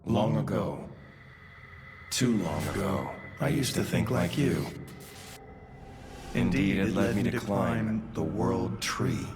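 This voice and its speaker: Low male voice, serene ancient high priest, formal, gracious, video game cutscene, long hall reverb. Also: animated, slow, long pauses, music in the background. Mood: solemn. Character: serene, courteous male religious leader, ancient but youthful-looking.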